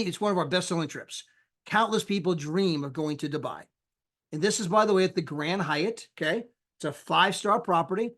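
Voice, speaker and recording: A man giving an energetic sales pitch on a live call, casual and folksy, acting out the people he quotes. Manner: animated, acts out quoted people with big pitch jumps. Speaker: sales presenter.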